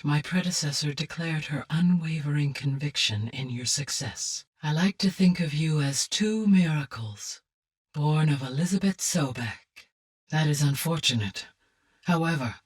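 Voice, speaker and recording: Female game AI, calm and caring, low husky tone, formal precise wording, composed delivery, slightly off-mic. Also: recorded from a distance. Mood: grave, concerned. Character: calm, caring, highly intelligent female artificial intelligence. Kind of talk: measured, scripted game dialogue.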